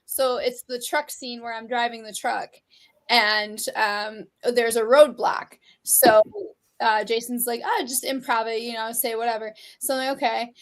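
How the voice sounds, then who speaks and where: A woman in her thirties talks casually as an interview guest. Her voice sits a little high. She swears freely and laughs often. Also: steady tone, unhurried. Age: 30s. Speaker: guest, horror actress and model.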